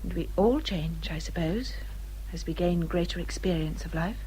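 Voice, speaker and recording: An English actress playing a gentle, dutiful young gentlewoman in a period radio drama, with a polite, tactful, measured manner. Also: fairly low pitch, a little hiss or hum, close to an everyday voice, rough recording. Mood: thoughtful.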